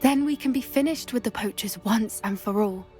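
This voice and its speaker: A woman's voice: on the high side, moderate pace, dark tone, natural voice. Earnest British teenage witch girl, acted by a woman, music behind. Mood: earnest, assured. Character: earnest teenage witch girl, gentle creature lover, calmly knowledgeable. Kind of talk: video game character dialogue.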